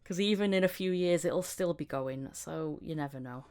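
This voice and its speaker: A woman's voice: low-pitched, normal speed, a little mellow, slight background noise. A woman hosting a casual podcast conversation, chatty and prone to repeating phrases for emphasis. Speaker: podcast host, voice actor.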